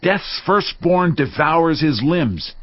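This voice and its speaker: An actor playing a stern biblical accuser who pronounces doom on the wicked in a grave, declamatory, ominous way, for a dramatized audio Bible. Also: male voice, on the high side, lively intonation, takes it slowly, no echo. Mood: grim, condemning. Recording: slightly dull sound under clear background music. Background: music.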